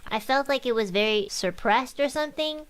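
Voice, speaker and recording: Chatty woman with a high voice. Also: normal speed. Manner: chatty, fills talk with "like". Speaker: singer and streamer. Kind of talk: casual behind-the-scenes commentary. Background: faint music from her track playing.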